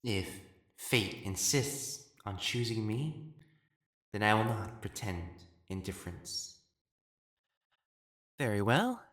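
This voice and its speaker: A man playing an elegant, proper matchmaker in a dramatic audio roleplay, speaking formally and haltingly as he hides his jealousy. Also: on the low side, a bit bright, full character voice. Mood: resolved, tender. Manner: formal, halting, stammering when flustered.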